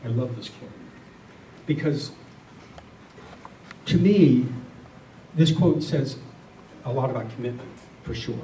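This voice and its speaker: Mellow man speaking in a roomy space. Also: medium pitch. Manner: earnest, deliberate, with repeated phrases. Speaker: spiritual speaker. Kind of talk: reflective spiritual talk to a group. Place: room with a listening group. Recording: roomy, distant mic, faint noise.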